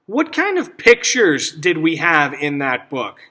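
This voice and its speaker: Man, high-pitched voice, bright tone, children's read-aloud, teacherly questions. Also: huge pitch swings, a little slow. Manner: instructive, checks understanding with simple questions. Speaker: teacher reading a picture book aloud to children.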